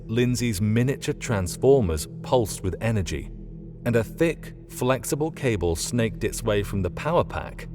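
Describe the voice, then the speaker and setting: A man reading a scripted story as an audiobook narrator, in an expressive storytelling manner. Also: lowish voice.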